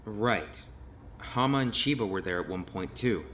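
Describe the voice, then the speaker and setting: An actor playing an uneasy, blunt young man investigating a murder in an animated mystery game, hesitant and easily agitated. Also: fairly low pitch, normal speed, slight background noise.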